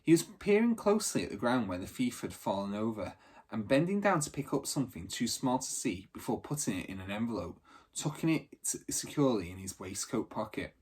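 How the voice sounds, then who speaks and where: A man narrates a children's adventure story like a teacher reading to a class. His voice is slightly rough and husky at a middle pitch, with expressive, dramatic stress on phrases. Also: fairly quick.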